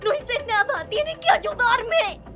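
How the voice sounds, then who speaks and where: A woman's voice: very high-pitched, lively intonation, slow pace, over loud music, exaggerated voice. A woman playing a panicked, pleading wife in an anime-style story, whimpering and stammering as she protests her innocence.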